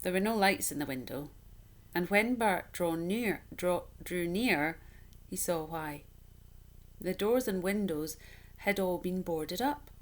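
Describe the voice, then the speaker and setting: Low-pitched woman reading a children's story. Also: expressive, takes it slowly. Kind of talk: expressive storybook narration.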